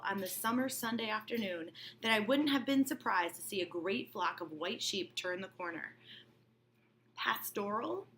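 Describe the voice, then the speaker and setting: A female teacher reads a novel with a lively, swooping delivery and a bright tone. She drops in informal explanations as she goes, with clear room noise in the background. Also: a little high. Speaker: teacher reading aloud. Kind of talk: expressive novel read-aloud with explanatory asides.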